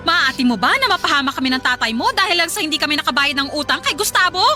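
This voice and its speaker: A woman's voice: high-pitched, lively intonation, fast, exaggerated voice. A Filipino woman playing a poor, debt-ridden mother in a radio drama, coaxing and guilt-tripping as she presses her case. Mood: insistent, pressuring. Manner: coaxing, guilt-tripping, hesitant then insistent. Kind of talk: Tagalog radio drama acting. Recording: loud music under the voice.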